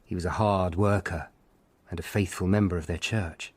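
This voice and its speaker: An actor playing a grave, observant Tudor lawyer who narrates his own story in measured, literate phrases for a radio drama. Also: deep voice, fairly even intonation, a little mellow, breathy edge, no room sound. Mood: sombre. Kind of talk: scripted first-person radio drama narration.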